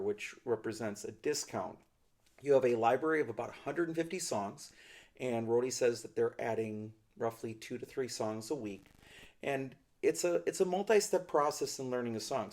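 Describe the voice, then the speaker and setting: A middle-aged man talks through a gear demonstration at a steady, mid-pitched level. He hedges and qualifies his points as he explains, recorded in a small indoor studio at desk distance. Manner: thoughtful, hedging, explanatory. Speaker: ukulele gear reviewer. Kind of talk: product review demonstration.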